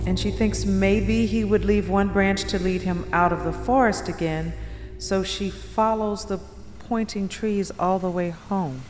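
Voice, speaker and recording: Actress as a guarded young woman, mid-pitched. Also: fairly even intonation, measured pace, a little mellow, soft background music, lightly acted. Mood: quiet, reflective. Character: guarded, polite woman visiting an estranged mother's town. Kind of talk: naturalistic audio drama acting.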